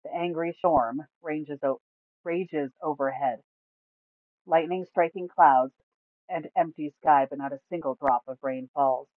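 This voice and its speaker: A woman storyteller reading scripted fantasy narration aloud in a measured, dramatic way. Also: sits low, no echo. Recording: narrow, phone-like sound.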